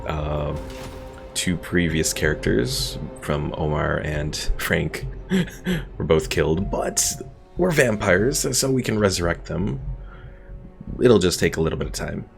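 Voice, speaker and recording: Deep, gravelly gaming streamer. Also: male voice, slow pace. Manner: relaxed, thinking aloud, chatting to viewers. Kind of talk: casual let's-play commentary. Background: game music.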